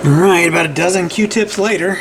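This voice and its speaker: A man with a slightly high voice walks through a repair at his workbench, loose and conversational, often stopping to ask "right?" and restarting his sentences. A faint hum of room noise sits under his voice. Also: a little fast. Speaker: repair channel host. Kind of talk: casual repair tutorial.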